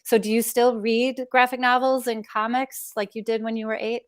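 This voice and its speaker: A woman's voice: on the high side, level tone, normal speed. A woman interviewer on a video call, conversationally putting questions to a guest. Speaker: interviewer relaying children's questions.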